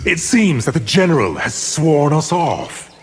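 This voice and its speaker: A man's voice: fairly high pitch, big pitch swings, measured pace, loud music behind the voice. A man playing a scheming, power-hungry sorcerer villain in a fighting game, speaking with condescending menace. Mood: sly, menacing. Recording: long reverb, loud background noise.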